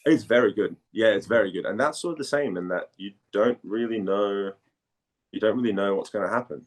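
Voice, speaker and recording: A man from Denmark speaking casually as an interviewed actor, halting and repeating phrases as he searches for words. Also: on the low side, rough recording.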